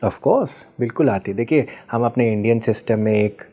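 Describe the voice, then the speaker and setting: Male expert guest, warm low-key timbre, teacherly and patient, code-switches into English. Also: moderate pace, lo-fi sound. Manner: patient teacherly explaining with frequent checking questions; mixes Hindi with English terms. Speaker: guest expert on ancestral healing. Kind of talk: explanatory interview answers.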